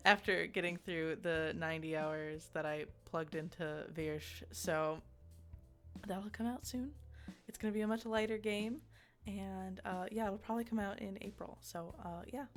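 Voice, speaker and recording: Bright-voiced woman, giggly casual game reviewer. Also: medium pitch. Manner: giggly, self-deprecating, conversational. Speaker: video game reviewer and host. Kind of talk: casual video essay review chat.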